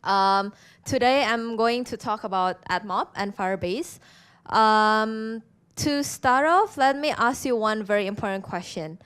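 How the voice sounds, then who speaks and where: Clear-voiced Indonesian woman giving a talk. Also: fairly high pitch, a little slow, a bit bright. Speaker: conference speaker. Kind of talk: presentation to an audience.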